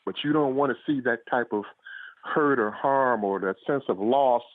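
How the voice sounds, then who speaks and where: A man's voice: medium pitch, normal speed, no echo. A man answering interview questions as an author, in a reflective, conversational way, often saying "you know". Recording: muffled, phone-like line.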